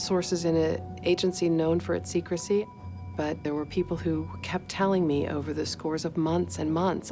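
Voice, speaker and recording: A woman with a very low voice and plenty of vocal fry speaks as a reporter in a documentary. Music plays clearly behind her account. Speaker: investigative newspaper reporter. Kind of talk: documentary interview and narration.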